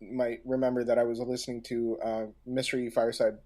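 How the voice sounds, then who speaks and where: A man co-hosting a casual podcast discussion, speaking haltingly and often pausing in the middle of a phrase. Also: medium pitch, level tone, warm tone. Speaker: podcast co-host.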